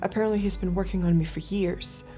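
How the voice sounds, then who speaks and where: An actress plays a young American android woman in a naturalistic audio drama. Her voice is soft and mellow, hushed and earnest, pleading for help as she guards a secret. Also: mid-range voice, steady tone, fast talker, soft background music, close to an everyday voice. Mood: hushed, confessional.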